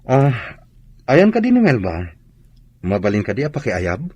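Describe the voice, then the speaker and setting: A man's voice: medium pitch, wildly swooping pitch, normal speed, a little mellow, breathy edge, muffled recording. A male actor with an Ilocano accent playing a polite young suitor in a radio drama. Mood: eager, polite.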